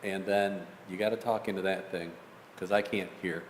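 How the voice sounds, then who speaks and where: A man on a planning commission giving loud, rambling casual remarks at a public meeting. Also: low voice, fairly even intonation, normal speed, slight background noise. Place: council chamber, echoey room. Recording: echoey hall from a room mic.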